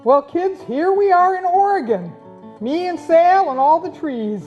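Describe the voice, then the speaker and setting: Children's puppet show: excitable folksy pioneer farmer, high and exclamatory, played by a woman, faint guitar behind a lo-fi recording. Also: a little slow. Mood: busy, friendly. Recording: dull lo-fi audio, guitar music behind.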